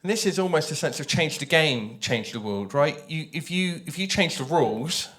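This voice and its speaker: A man's voice: a little high, lively intonation, moderate pace, fairly bright tone. A man speaking conversationally on a panel as a writer, explaining his ideas thoughtfully and repeating phrases for emphasis. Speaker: audio drama writer on a festival panel.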